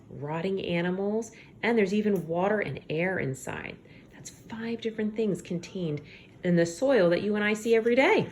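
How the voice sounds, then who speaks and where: A woman teacher reading aloud to young children, expressive, pausing to explain things simply and check in with her listeners. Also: mid-range voice, slight background noise. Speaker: elementary school teacher.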